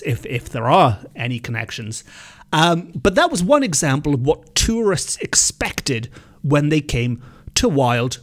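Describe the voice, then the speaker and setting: Welsh male podcast host, chatty and self-deprecating, unhurried, lively rising and falling pitch. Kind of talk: solo podcast narration on folklore.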